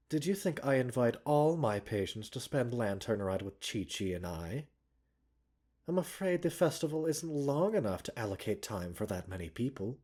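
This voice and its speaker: A man playing a gentle, caring doctor in a roleplay ASMR, speaking softly and breathily with measured, polite, gently chiding formality. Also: fairly low pitch, full character voice. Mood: warm, amused. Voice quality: soft, breathy. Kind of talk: roleplay ASMR, scripted character acting.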